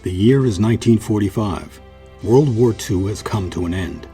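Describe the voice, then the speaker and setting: A man's voice: lowish voice, normal speed, dark tone, soft background music. A man reading scripted documentary narration.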